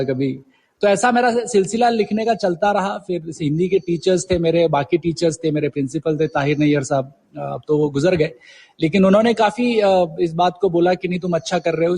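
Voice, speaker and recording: A man's voice: on the high side, darkish tone, husky. A middle-aged man reminiscing as an interview guest, telling stories and mixing in English words. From India. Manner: storytelling, mixes English words into Hindi.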